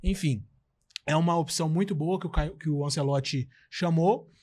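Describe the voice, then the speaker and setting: Brazilian man, slightly high voice, rambling sports co-host. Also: normal speed, a little mellow. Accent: Brazilian Portuguese. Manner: musing, many fillers and trailing "enfim". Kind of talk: casual sports talk.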